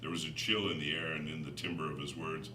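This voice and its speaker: A man's voice: extremely low pitch, fairly even intonation, fairly quick. A man giving a dramatic literary narration, reading from a gothic novel as its author. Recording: roomy, distant, noisy recording.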